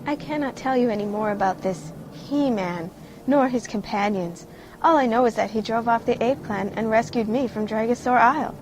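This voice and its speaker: A woman's voice: a little high, normal speed, background noise, natural voice. A woman playing a devout, deferential clan queen in a fantasy audio drama, speaking humbly and reverently. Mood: apologetic. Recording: loud music under the voice.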